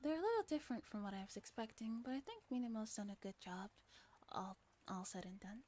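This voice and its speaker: A soft-spoken woman reading a visual novel aloud in a gentle ASMR style, giggly and sometimes stumbling over lines. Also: on the high side, animated, brisk, slight background noise.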